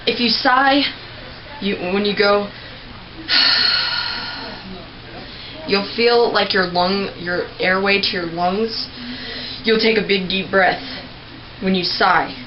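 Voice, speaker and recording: A young woman giving a casual, rambling tutorial on screaming technique, clearing her throat often. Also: medium pitch, takes it slowly, pauses often, a crowd in the background. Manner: chatty, rambling, with many filler words and throat clearing. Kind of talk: casual home tutorial video. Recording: dull lo-fi home recording with faint noise.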